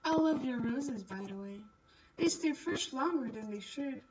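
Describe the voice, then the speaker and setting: A woman's voice: high voice, animated, unhurried, distant mic, dull audio, background noise. A woman voicing a courteous, reassuring nobleman in a visual novel. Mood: gentle, gallant. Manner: gallant, reassuring. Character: courteous, kindly nobleman, a French Marquise. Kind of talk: visual novel character reading.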